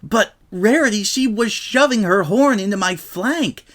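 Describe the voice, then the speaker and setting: A brash young pegasus mare from a cartoon-style story reading, acted out by a man. The voice is pushed up high and comes out loud and indignant, full of defensive exclamations. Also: expressive, measured pace.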